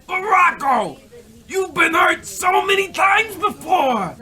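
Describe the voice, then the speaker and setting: High, shouty melodramatic mobster. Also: big pitch swings, slow, full character voice. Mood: incredulous. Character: loud theatrical mobster debt collector, gang boss's henchman. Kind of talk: old-time radio comedy acting.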